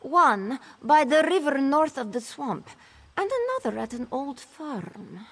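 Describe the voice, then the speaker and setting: Actress as fiery feline game heroine: high-pitched, lively swings, brisk and self-assured. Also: female voice, heavily acted. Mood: urgent, focused. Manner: self-assured, brisk, refers to herself in third person. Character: proud, fiery cat-folk woman smuggler-hunter, confident. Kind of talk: video game character dialogue, AI-voiced.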